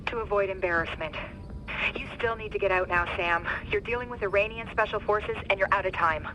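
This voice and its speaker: Steely woman coordinator, acted for a game, fast, clipped, authoritative, noisy comms. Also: medium pitch, close to an everyday voice. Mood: urgent. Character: brisk, steely female mission coordinator, tense and in command. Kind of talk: dramatic game voice acting.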